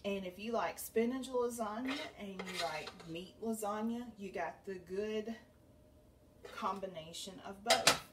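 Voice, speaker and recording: A woman's voice: mid-range voice, a little slow. A middle-aged woman hosting a cooking demonstration in her home kitchen, chatty and homey as she stirs the pots. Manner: chatty and homey, talks while stirring pots. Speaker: cooking show host. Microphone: camera a few feet away. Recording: slightly distant camera mic, faint room noise.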